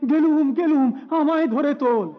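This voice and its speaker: A man's voice: extremely high pitch, unhurried, narrow, tinny audio, pitched above the performer's own voice. A man voicing an irascible, paranoid office boss who blusters and scolds in rhymed comic verse. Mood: panicked. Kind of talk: rhymed comic poetry recitation.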